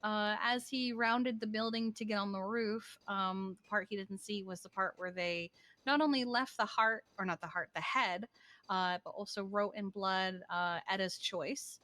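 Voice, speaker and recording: A woman improvising in game roleplay as a matter-of-fact, wry character who recounts things haltingly. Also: mid-range voice, steady tone, pushed and bright, dry recording, close to an everyday voice. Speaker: role-player in a live-streamed online game.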